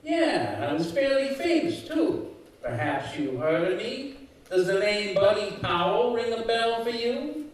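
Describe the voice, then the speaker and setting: Man playing a high-pitched, wisecracking showbiz skull. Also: big pitch swings, measured pace. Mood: nostalgic, wry. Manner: wry, showbiz patter. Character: talking skull of a washed-up fifties sitcom actor, wry, chatty. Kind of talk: old-time radio comedy acting before a live audience. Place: community center stage, live performance. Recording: very echoey hall, far from mic.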